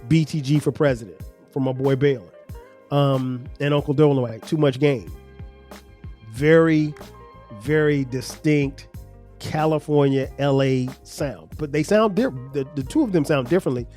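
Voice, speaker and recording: A man's voice: medium pitch, mellow. A man with a South Carolina accent and a slightly nasal voice, rambling conversationally as a podcast host, a little congested. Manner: rambling, conversational, many restarts. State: congested sinuses. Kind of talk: casual solo podcast talk.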